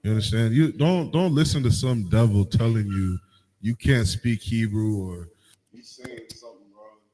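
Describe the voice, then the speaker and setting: A man's voice: fairly low pitch, normal speed, mellow. A man teaching a Bible lesson in an emphatic, urging way, often repeating himself. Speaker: teacher leading a Bible study. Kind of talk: sermon-style teaching. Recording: echoey hall, faint noise.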